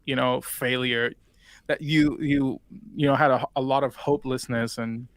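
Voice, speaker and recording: A man's voice: mid-range voice, fairly even intonation, moderate pace, no room sound. Young Puerto Rican man, dry close sound. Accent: Puerto Rican Spanish. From Puerto Rico. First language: Spanish. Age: 20s. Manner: rambling, many fillers and restarts. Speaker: guest. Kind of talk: casual interview chat.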